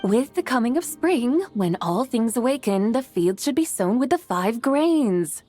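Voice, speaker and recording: A woman's voice: fairly high pitch, big pitch swings, a little slow, mellow, soft background music. A woman voicing a proud, learned ancient goddess in a video game, speaking in a lofty, formal, archaic way. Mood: lofty, instructive.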